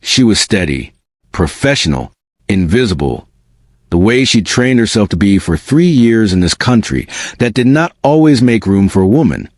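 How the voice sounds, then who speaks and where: A man narrating a romantic drama in a measured, dramatic storytelling style. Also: on the low side, sing-song, no echo, clean studio sound.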